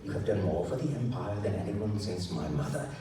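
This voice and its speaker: A man's voice: low voice, lively intonation, a little fast. A man playing a formal, earnest and exasperated emperor, speaking in a stiff courtly manner for a story reading. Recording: echoey noisy room, recorded from far off.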